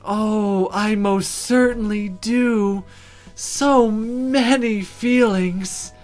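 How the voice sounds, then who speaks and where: Woman voicing a sultry, slow-drawling flirty demoness. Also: mid-range voice, darkish tone, soft background music, voice pushed up. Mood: seductive. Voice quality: breathy. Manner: drawn-out, suggestive purring delivery.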